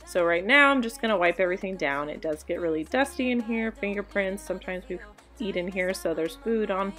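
A woman's voice: medium pitch, brisk. A woman in her thirties chatting casually in a voice-over for her home cleaning vlog, confiding and laughing now and then. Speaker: cleaning vlogger. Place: home bedroom. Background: soft background music.

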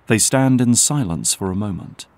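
A man's voice: on the low side, animated, normal speed, darkish tone, dry recording. A man reading scripted scene narration as the narrator of an audio drama. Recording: music under narration.

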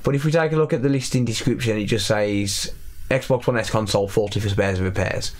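A young man with a slightly raspy voice from recent illness, chatting casually as he repairs a console in his workshop. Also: lowish voice, fairly quick. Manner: chatty, self-deprecating, thinks aloud while working. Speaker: electronics repair YouTuber. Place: cluttered repair workshop, indoors.

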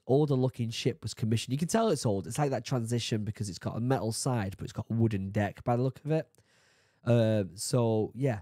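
A man in his thirties from the UK, a bit hoarse from being sick, chatting casually as he reacts to a video and reads labels aloud, excited now and then. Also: mid-range voice, dry recording, studio quality. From United Kingdom. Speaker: YouTube reaction host. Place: home study with bookshelves. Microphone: studio mic on boom arm, headphones.

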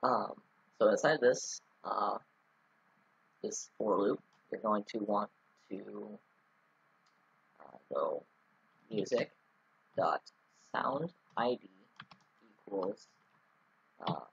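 A man's voice: lowish voice, drawn-out words, gravelly, long pauses, slightly rough, no room sound, muffled recording. A man talking through a casual screen-recorded coding tutorial, rambling with many ums and restarts. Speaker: scripting tutorial host.

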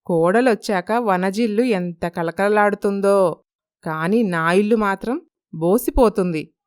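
A woman playing a wistful ageing mother-in-law in a moral story, smooth-voiced and plaintive, longing for her children. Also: medium pitch, measured pace, a little mellow, dry recording, studio quality, close to an everyday voice.

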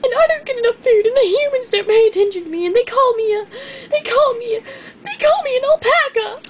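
A woman's voice: very high voice, expressive, measured pace. A woman voicing a fussy, proud female llama who complains in a weepy, indignant way during toy animal role-play. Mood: whiny.

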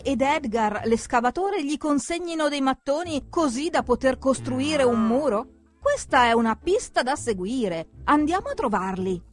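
High-pitched woman narrating a children's cartoon. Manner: expressive, addresses characters directly.

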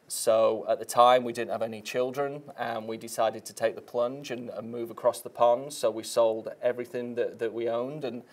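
A middle-aged man with a Mancunian accent speaks as a studio interview guest. His mid-pitched voice stays flat and even, and his telling carries a dry, self-deprecating humor. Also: normal speed, hardly any pauses. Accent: Mancunian English. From Manchester, England. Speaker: guest, marketing manager. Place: TV studio set.

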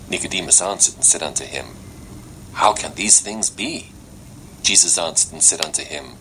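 A man giving a solemn, measured and dramatic scripture reading, played back through a small portable speaker. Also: sits low, pushed and bright. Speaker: audiobook narrator. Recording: small device speaker playback, noisy room.

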